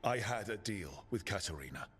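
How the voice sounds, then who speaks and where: A man's voice: lowish voice, expressive, moderate pace, fairly bright tone, in a large hall, faint music behind. An actor playing a firm, suspicious, challenging man in a dramatic video game cutscene. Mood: stern, demanding.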